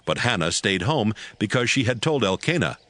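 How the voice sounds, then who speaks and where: A man narrating dramatized scripture from a script, telling it as a measured story. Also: lowish voice, very animated, sharp tone, no echo.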